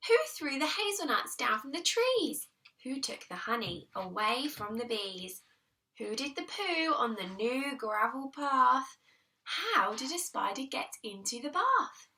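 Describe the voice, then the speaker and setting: A woman reading a children's picture book aloud in an expressive, deliberate storytelling manner, leaning on the rhymes. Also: sits high, recorded from a distance, low-quality recording. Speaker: primary school teacher reading aloud.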